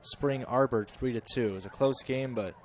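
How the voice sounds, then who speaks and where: A man giving chatty live play-by-play of a college soccer match, correcting himself and rising in pitch and volume when the play gets dangerous. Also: moderate pace, slight background noise. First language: English. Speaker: play-by-play commentator.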